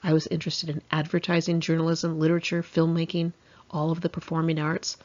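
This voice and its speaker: A woman's voice: low-pitched, completely flat delivery, a little fast, a little mellow, muffled recording. An American woman reading her own memoir in a conversational, candid and wry, self-mocking way. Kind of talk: scripted audiobook narration, conversational.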